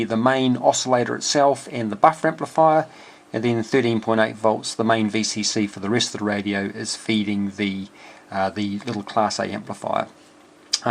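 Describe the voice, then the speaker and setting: A man giving an informal technical walkthrough as a hobby builder, thinking aloud in a hesitant, halting way. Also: medium pitch. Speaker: amateur radio builder presenting a project. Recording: clear steady background noise.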